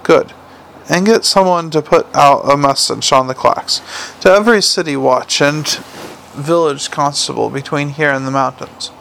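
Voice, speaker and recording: Male reader as world-weary cop boss: clipped, dry, gravelly. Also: on the high side, moderate pace, fairly bright tone, a little hiss or hum. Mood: brusque. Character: weary, blunt male city watch commander.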